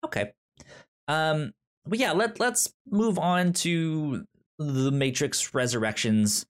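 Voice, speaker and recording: A man's voice: a little high, slow. A man co-hosting a casual film podcast over a remote call, stammering and restarting his sentences often. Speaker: podcast co-host.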